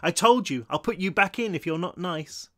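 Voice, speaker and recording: A man's voice: sits high, animated, moderate pace, sharp tone, pitched above the performer's own voice. A man voicing a cheerful, bossy little girl in a storybook reading. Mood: bossy, friendly.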